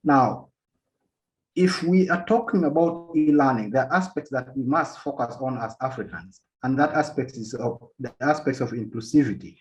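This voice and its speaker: A man from Uganda giving an academic policy talk as a panel speaker, halting often and repeating words as he restarts his sentences. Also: mid-range voice. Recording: dull lo-fi sound.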